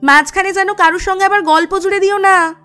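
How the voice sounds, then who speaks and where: A woman's voice: extremely high pitch, steady tone, a little fast, sharp tone, soft background music. A woman playing a busy clinic doctor in an audio drama, matter-of-fact and instructing, reassuring yet a little stern. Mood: impatient, scolding. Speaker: voice actor. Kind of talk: audio story dramatic acting.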